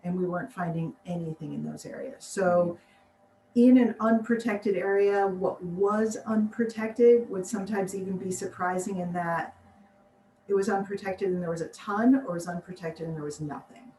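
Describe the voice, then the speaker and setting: A woman's voice: fairly low pitch, normal speed, mellow. An American woman biologist answering interview questions on a video call, chatty, laughing often and acting out what people said. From United States. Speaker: biologist guest. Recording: distant roomy mic with faint noise.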